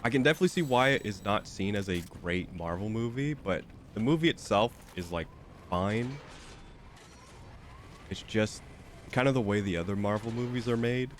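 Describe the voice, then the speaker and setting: A man's voice: fairly low pitch, expressive, moderate pace, a little hiss or hum. A young man with a stuffy, slightly nasal voice, chatting casually and rambling with lots of fillers while streaming a game. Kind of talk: casual chat while gaming.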